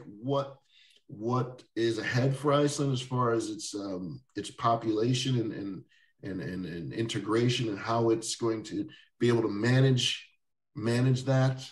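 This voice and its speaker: A man's voice: mid-range voice, unhurried. Man talking from a distance, echoey room. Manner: rambling, with many restarts and soft laughs. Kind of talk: casual interview chat. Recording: roomy echo, distant mic.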